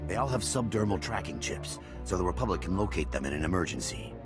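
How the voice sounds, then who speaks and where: An actor playing a helpful prison communications officer, briefing urgently in a video game. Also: male voice, low-pitched, very animated, fast talker. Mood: earnest briefing. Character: helpful male prison comms officer ally. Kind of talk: scripted video game acting. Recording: music behind the voice.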